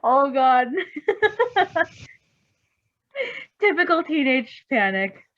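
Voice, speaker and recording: Female casual commentator, high voice, drawn-out delivery, muffled audio. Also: lively intonation. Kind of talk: casual reaction to a story.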